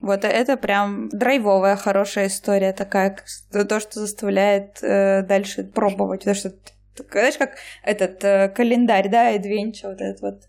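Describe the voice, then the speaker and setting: A woman in casual podcast talk who rambles, restarts her sentences and laughs easily. Her voice is lively and of middle pitch, picked up by a close mic. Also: normal speed. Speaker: podcast co-host.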